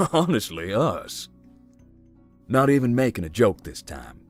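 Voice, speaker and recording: A man playing a giant, easygoing, wry explorer in a visual novel, talking in a folksy, deadpan way. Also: medium pitch, slow pace. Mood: wry, cautious. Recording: music behind the voice.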